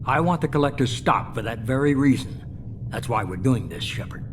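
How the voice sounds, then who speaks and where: A man's voice: mid-range voice, expressive, normal speed, lightly acted. Male mastermind for a game, actor in role: calculating, ruthless, mid-range voice, noticeable ambient noise. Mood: calculating, determined. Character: calculating, ruthless male leader of a secretive organization. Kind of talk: dramatic video game dialogue.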